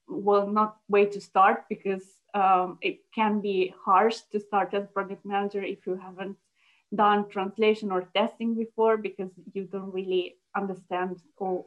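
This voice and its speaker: A young woman answers interview questions in a thoughtful, halting way. She often restarts her sentences. Her voice sits at a middle pitch and comes through slightly muffled, lo-fi call audio. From Italy. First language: Italian. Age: young adult. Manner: thoughtful, halting, with frequent restarts and light laughs. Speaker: guest, localization project manager.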